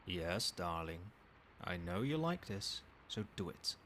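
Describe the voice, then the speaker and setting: A man playing a doting yet controlling, quietly menacing boyfriend in a soft-spoken ASMR roleplay, coaxing tenderly with pet names. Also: low voice, slow, exaggerated voice. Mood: soothing, doting. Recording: steady background noise, night ambience. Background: rain and cricket sounds.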